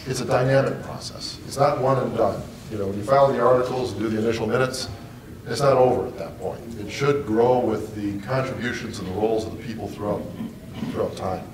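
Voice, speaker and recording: A man's voice: mid-range voice, far from the mic. Older lawyer guy on a panel, confident, a bit jokey, big echo, off-mic, room noise. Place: conference room.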